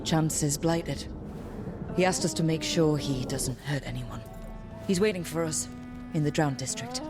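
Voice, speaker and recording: A woman's voice: low-pitched, unhurried. A woman acting as a determined young leader of a fantasy adventuring party in a video game. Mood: grave. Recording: loud music behind.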